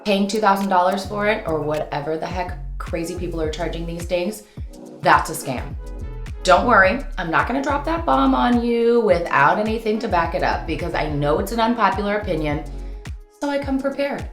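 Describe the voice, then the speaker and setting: A woman's voice: mid-range voice, expressive, normal speed, echoey room, soft background music. A woman hosting an upbeat instructional vlog, talking informally and playfully with theatrical, sing-song flourishes. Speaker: host and voice-over coach.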